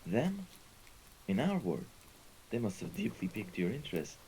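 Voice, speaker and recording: A man's voice: on the low side, sing-song, normal speed, mellow, a little hiss or hum, rough recording. A man playing a loyal, deferential mafia henchman in a scripted ASMR roleplay drama, earnest and submissive toward a superior. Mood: puzzled, deferential.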